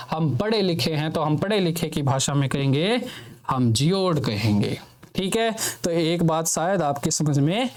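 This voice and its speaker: A male teacher gives a slow, unhurried lecture. His voice sits a bit high for a man. He repeats each point and keeps asking his students questions before he answers them.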